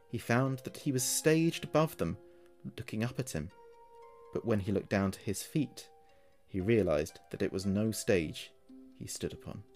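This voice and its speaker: A man telling a horror story as narrator, in a dramatic scripted style. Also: lowish voice.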